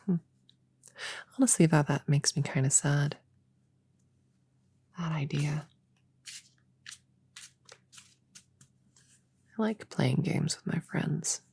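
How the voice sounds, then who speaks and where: Young novice witch played by a woman, low-pitched and breathy, friendly but unsure of herself, hushed ASMR roleplay delivery. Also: exaggerated voice. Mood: musing. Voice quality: breathy, soft. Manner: hesitant, rambling, intimate chatter to a friend. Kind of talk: soft ASMR roleplay, partly whispered.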